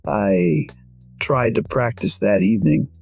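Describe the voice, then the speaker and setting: A man voicing a weary, proud musician in his sixties, narrating an audio drama in a measured, reflective way. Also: mid-range voice, expressive, gravelly, darkish tone, breathy edge, no room sound, faint music behind, heavily acted. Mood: quiet regret. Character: weary, proud 62-year-old male musician, quietly regretful. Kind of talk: first-person scripted narration, audio drama.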